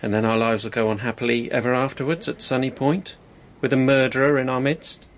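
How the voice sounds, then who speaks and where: An actor in a radio drama playing a cynical, restless husband with a sarcastic, argumentative way of talking. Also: male voice, mid-range voice, steady tone, a little fast, lightly acted. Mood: sour, sarcastic. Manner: sardonic, argumentative.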